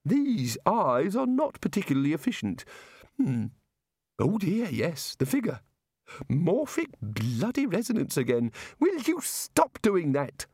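A man voicing an anxious, absent-minded male god who talks in a high, puzzled, fussy way. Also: huge pitch swings, a little slow, a little mellow, slightly rough, dry recording, full character voice. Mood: fretful.

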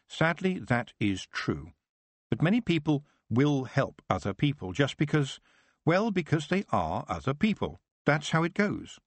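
A man voicing a sensible young witch who speaks calmly and plainly, reasoning things through. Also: on the high side, unhurried, dry recording, voice pushed up. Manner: calm, plain-spoken, reasoning.

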